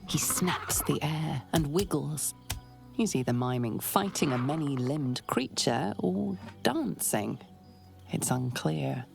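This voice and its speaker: A fantasy game narrator with a deep female voice full of heavy fry. She speaks slowly and deliberately, breathy and hushed, over faint music. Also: expressive, full character voice. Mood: wry, gentle. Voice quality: breathy, hushed, often near a whisper. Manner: measured, deliberate storytelling. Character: female storyteller narrating a dark fantasy adventure. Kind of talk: scripted second-person fantasy game narration.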